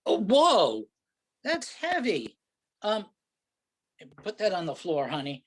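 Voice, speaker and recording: A man's voice: sits high, wildly swooping pitch, takes it slowly, husky, exaggerated voice. A man playing an impatient, sarcastic, exasperated husband on vacation in an amateur staged play reading. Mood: incredulous, grudging. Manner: sarcastic, griping, incredulous.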